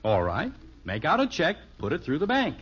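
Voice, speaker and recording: Lively, genial 1950s radio dad. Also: male voice, on the high side, normal speed, breathy edge, full character voice. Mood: easygoing. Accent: American. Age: middle-aged. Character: genial middle-aged father playing banker to his children. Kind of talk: 1950s radio sitcom acting. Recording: muffled 1950s radio recording.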